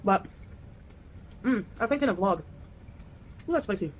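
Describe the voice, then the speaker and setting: A woman's voice: medium pitch, expressive, unhurried, slight background noise. A woman vlogging casually about her food, talking between mouthfuls with little murmurs of relish. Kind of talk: casual mukbang vlog chat.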